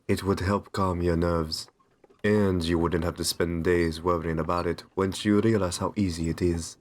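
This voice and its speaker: A man playing a courteous, possessive vampire master in an ASMR roleplay, soft-spoken, soothing and reassuring with genteel endearments. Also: low-pitched, fairly even intonation, normal speed, close to an everyday voice. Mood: coaxing, reassuring. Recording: music under the voice. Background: background music.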